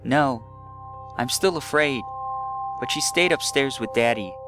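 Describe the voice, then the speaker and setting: A man voicing a shy, frightened young girl in a horror story, speaking quietly and factually. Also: mid-range voice, measured pace, voice pushed up, close to an everyday voice. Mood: afraid. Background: eerie background music.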